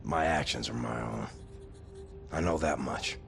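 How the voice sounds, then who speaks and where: Tough weary american soldier, super low gravelly voice, few words, music behind. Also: male voice, unhurried, fairly bright tone, heavily acted. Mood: stoic, skeptical. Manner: laconic, plain-spoken. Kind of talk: video game dramatic acting. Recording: music under the voice.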